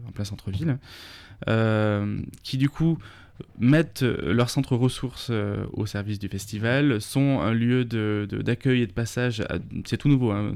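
A man's voice: mid-range voice, creaky, darkish tone, no room sound. A man, a festival organizer talking through a radio interview, full of fillers and restarts. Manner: hesitant, many fillers and restarts.

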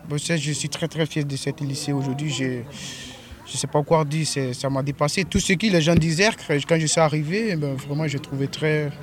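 A male student answers a street interview outside a school and searches for his words. He sounds earnest, with a slightly high voice. Loud crowd chatter fills the background. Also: steady tone, fairly quick. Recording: outdoor crowd noise behind.

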